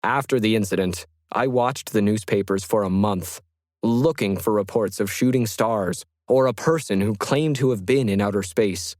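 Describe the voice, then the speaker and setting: A man voicing a solitary, methodical 1950s ham radio hobbyist, reading his journal in a measured, reflective way for an audio story. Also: on the low side, darkish tone, dry recording, studio quality, lightly acted. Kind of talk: scripted first-person journal narration.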